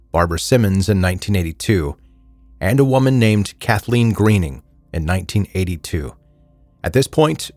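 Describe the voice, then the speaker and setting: A man's voice: on the low side, no room sound. A man hosting a true crime podcast, reading scripted narration with casual asides.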